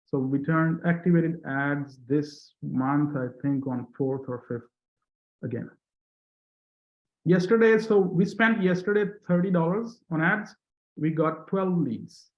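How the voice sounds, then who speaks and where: A man who speaks English as a non-native language, telling his coaching results earnestly with halting restarts. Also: a little high. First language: not English. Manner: earnest, halting restarts, rising on key numbers. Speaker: coaching client, interview guest. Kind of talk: testimonial video call chat. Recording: echoey room over a tinny call line.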